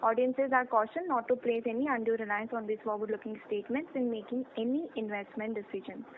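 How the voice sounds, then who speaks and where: A woman's voice: a little high, fairly even intonation, quick pace. A woman with an Indian accent moderating a conference call, reading a formal scripted introduction. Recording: tinny phone line with clear noise.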